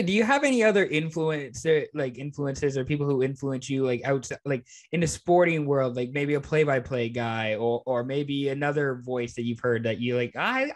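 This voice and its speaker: An American man in his twenties hosting a casual interview, informal and peppering his speech with "like". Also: fairly high pitch. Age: 20s. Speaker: podcast host.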